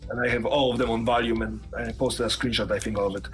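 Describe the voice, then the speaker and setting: A man's voice: mid-range voice, little pitch movement, fast, soft background music. A man guesting on a streamer interview, talking casually with dry jokes and rambling asides.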